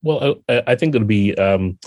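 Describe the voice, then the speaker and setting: A man's voice: on the low side, lively intonation, normal speed, darkish tone, no room sound, studio quality. A man speaking as an interview guest, thoughtfully explaining game design in a conversational way.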